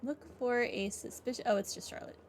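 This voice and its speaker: A woman's voice: a little high, steady tone, fairly quick, slight background noise. A woman giving casual, playful commentary as she plays a video game, reacting to it as she goes. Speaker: gamer recording a playthrough. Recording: game music behind.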